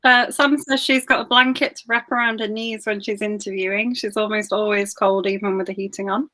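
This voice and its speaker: A woman's voice: medium pitch, steady tone, a little fast, sharp tone. A woman hosting a casual online author interview on a video call, reading out viewers' comments in a chatty way. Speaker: book club interviewer.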